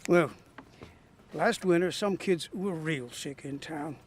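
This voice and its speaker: A hearty, bossy small-town barber from the 1930s in a radio drama, his voice high for a man. A faint hiss lies behind him. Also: slow. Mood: storytelling. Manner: hearty, scolding.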